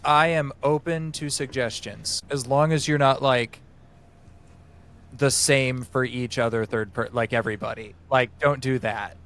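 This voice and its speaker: A man in his twenties acting as game master, calmly walking players through setting up their characters. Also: mid-range voice, unhurried. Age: 20s. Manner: organized, explains rules step by step. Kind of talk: running a tabletop session zero.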